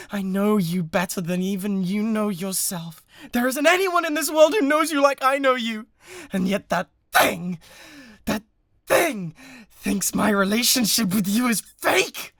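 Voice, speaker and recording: A young British man voicing an obsessive, menacing young male streamer in an ASMR audio drama, swinging between whispers and shouts. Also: high voice, no room sound, clean studio sound, exaggerated voice. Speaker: voice actor.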